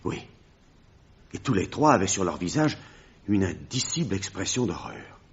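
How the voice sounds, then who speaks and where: A grave village pastor in a radio mystery. His low voice is husky and swings expressively as he recounts horrors in a measured, dramatic way. The recording is dull and lo-fi. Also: huge pitch swings, exaggerated voice. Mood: solemn. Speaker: actor in a radio mystery play. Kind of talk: French radio drama acting.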